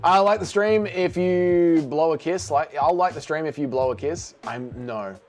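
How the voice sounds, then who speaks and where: A man's voice: a little high, normal speed, darkish tone, soft background music. A middle-aged man streaming live, reading and answering chat in a brash, sarcastic way and swearing freely. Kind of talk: casual livestream chat.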